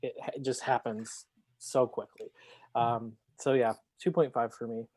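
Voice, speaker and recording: A man on a panel chatting casually about a book, hesitant and halting as he gives his opinion. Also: medium pitch, steady tone, darkish tone, no room sound. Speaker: podcast panelist.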